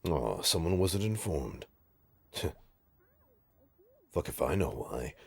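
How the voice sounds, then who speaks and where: A man playing a bitter, taunting supervillain in an audio roleplay, with a raspy, gravelly voice and a sardonic, theatrical, halting delivery. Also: sits low, big pitch swings, heavily acted. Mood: sneering, irritated. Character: menacing, taunting male supervillain, bitter, grudgingly rehabilitating. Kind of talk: scripted audio roleplay drama.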